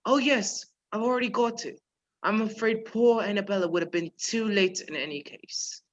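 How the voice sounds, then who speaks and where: A performer playing a polite, measured male professor who is secretly a menacing spy chief in a radio play. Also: mid-range voice, lightly acted. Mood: quietly menacing. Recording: dull lo-fi virtual recording.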